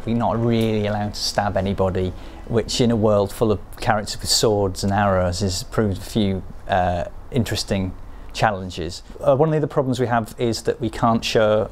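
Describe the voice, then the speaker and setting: A man's voice: fairly low pitch, darkish tone. A male game developer being interviewed, who explains his work hesitantly with many fillers and restarts.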